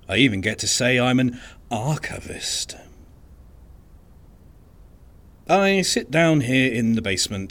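Low-pitched male archivist, dry, understated, resigned, hesitant pauses, conversational audio drama monologue. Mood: wryly resigned. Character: unassuming male museum archivist, wry and resigned. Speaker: narrator of an audio fiction podcast.

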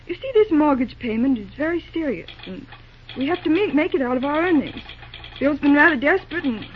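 High-voiced, flustered young office secretary. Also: female voice, expressive, fairly quick, a little hiss or hum. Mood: worried. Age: young adult. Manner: hesitant, stammering, eagerly courteous. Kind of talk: old-time radio drama acting. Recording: dull, band-limited old radio recording.

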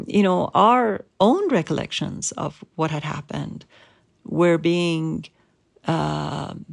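Slow, gravelly woman with an Iranian accent. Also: deep voice. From Iran. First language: Persian. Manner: measured, thoughtful, with pauses. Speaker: interviewed writer. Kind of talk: reflective interview.

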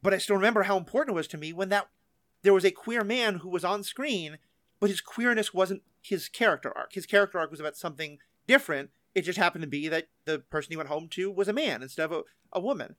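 A man's voice: high voice, fast, fairly bright tone, dry recording, clean studio sound. A man in his forties hosting a podcast, speaking in a reflective, affirming and conversational way. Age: 40s. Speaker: podcast host. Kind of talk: thoughtful casual conversation.

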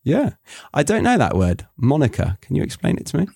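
A man's voice: mid-range voice, huge pitch swings, fairly quick, gravelly, darkish tone, husky, no room sound. A British man hosting a podcast, chatting in a laid-back way with dry wit. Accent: British English. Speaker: podcast host. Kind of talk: casual chat.

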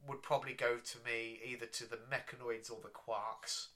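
A man's voice: medium pitch, little pitch movement, normal speed, bright, forceful tone. A man co-hosting a casual review chat, explaining things with enthusiasm. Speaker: podcast co-host.

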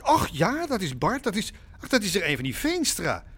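An older village man, with a notably high voice that swings up and down. He is genial and chatty in an audio-drama way, rambling on effusively and drawing out his exclamations. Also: wildly swooping pitch, moderate pace, a bit bright, husky, big-room sound, full character voice. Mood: delighted, gossipy. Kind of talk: naturalistic Dutch audio drama dialogue.

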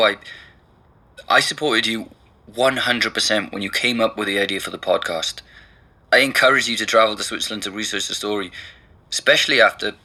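A man's voice: fairly low pitch, sharp tone, full character voice. An actor playing a worried, exasperated man in an audio drama, earnest and hesitant as he reasons with a friend. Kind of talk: naturalistic audio drama dialogue.

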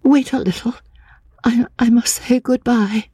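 A woman voicing a frightened, fragile young woman, timid and trembling, with halting, stammering speech and a whispery voice in a dramatic audiobook reading. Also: a little high, fairly even intonation, dark tone, no echo, studio quality, voice pushed up. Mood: timid, gentle. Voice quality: breathy, whispery.

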